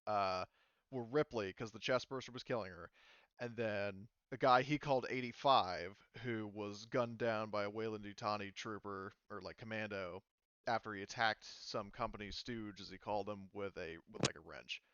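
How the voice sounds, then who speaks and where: Game master, male, smooth clear voice, rambling and casual, muffled recording. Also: lowish voice, moderate pace, a bit bright, no room sound. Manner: casual, many fillers like "like" and "um". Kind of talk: explaining rules and lore in a tabletop game.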